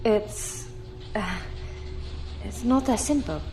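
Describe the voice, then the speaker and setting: A woman's voice: mid-range voice, slow pace, darkish tone, slight background noise. A woman playing a polite young ensign in a science fiction audio drama, with an earnest, deferential manner. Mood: flustered, defensive.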